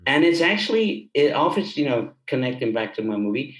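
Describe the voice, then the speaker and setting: A man's voice: medium pitch, sing-song, a little fast. Man in echoey room chatting enthusiastically. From Germany. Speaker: guest, film director and composer. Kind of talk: casual interview conversation. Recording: roomy, echoey sound.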